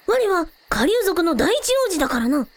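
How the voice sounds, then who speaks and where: A woman's voice: extremely high pitch, big pitch swings, moderate pace, no room sound, exaggerated voice. A woman voicing a small, proud, good-natured dragon prince with childlike, quaint, lordly old-fashioned speech in an audio drama. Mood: earnest, proud.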